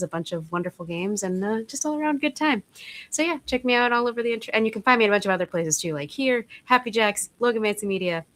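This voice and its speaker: A woman's voice: on the low side, fairly bright tone. A woman who sounds stuffy from a cold, chatting casually as she signs off from an actual play game she plays in. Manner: chatty, laughing asides. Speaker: actual play player and producer. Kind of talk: casual show sign-off.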